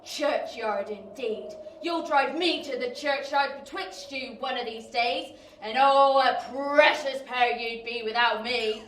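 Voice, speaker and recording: An actress playing a sharp-tongued, bullying village housewife in a radio play, scolding in an exasperated English voice. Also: female voice, a little high, takes it slowly, hardly any pauses, roomy sound, recorded from a distance, background noise.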